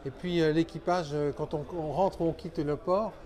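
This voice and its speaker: Guy hosting a product interview, bit high voice, really enthusiastic, lots of exclamations. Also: steady tone, moderate pace, warm tone. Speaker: interviewer, show host.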